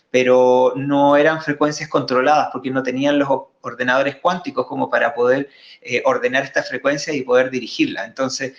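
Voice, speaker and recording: A man of middling pitch walks viewers through slides on a live stream. He explains in a halting way and keeps circling back over his own words. His voice comes through a muffled, lo-fi signal in a room with echo. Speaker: guest presenter. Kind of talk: live online talk presenting slides.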